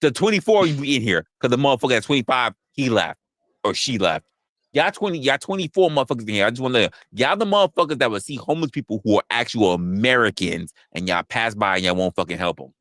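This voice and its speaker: A man's voice: mid-range voice, very animated, quick pace, a bit bright, dry recording, studio quality. A man chatting casually and profanely as a live stream co-host, telling stories by acting out dialogue and repeating phrases for emphasis. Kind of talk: casual, profane livestream chat.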